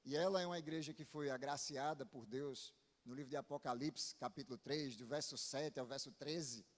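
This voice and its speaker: A man with a northeastern Brazilian accent from Paraíba, a pastor preaching informally to a congregation and often checking for a response with short tag questions. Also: fairly high pitch. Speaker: visiting Baptist pastor preaching. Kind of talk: sermon to a congregation. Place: church pulpit, amplified in a large hall. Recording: long reverberant hall sound.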